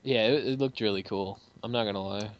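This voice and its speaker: A man's voice: lowish voice, brisk. A man co-hosting a casual fan movie commentary, joking crudely and swearing freely. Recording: dry, dull lo-fi home mic.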